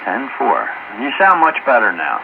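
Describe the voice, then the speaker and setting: A man's voice: medium pitch, wildly swooping pitch, normal speed, creaky. An American man talking in a folksy, colloquial way as a CB operator over a two-way radio. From Pennsylvania, United States. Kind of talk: casual radio chat. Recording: muffled CB radio with heavy static. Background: loud radio static.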